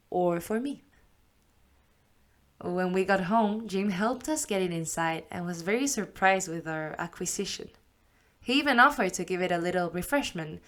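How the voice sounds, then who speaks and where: A woman narrating a first-person memoir for an audiobook at a middling pitch. Her delivery is warm and conversational, with lively asides, as if she is telling the story to a friend. It sounds like a studio microphone.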